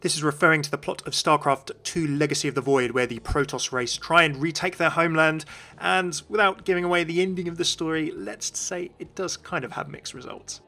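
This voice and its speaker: Bright-voiced man explaining game references. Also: fairly high pitch, a little fast. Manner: informal, explanatory. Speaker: video narrator and game commentator. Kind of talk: explanatory video commentary.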